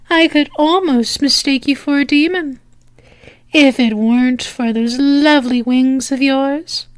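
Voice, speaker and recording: A woman playing a flirtatious female demon in an ASMR roleplay, teasing and sultry, breathy and often slipping into a whisper, close to the microphone. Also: high-pitched, no echo. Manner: sultry, teasing, drawn-out with lingering pauses.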